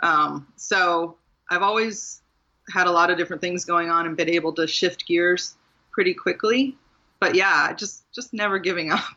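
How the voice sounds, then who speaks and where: A woman's voice: on the low side, normal speed, pushed and bright, lo-fi sound. Candid, laughing woman author guest. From Maryland, USA. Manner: candid and self-deprecating, laughs often. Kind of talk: casual interview conversation.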